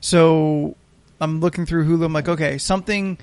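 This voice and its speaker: A man's voice: on the high side, steady tone, moderate pace, a little mellow, clear, resonant tone, no room sound. An American man hosting a casual podcast, telling anecdotes in a rambling, hesitant, wry way. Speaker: podcast host.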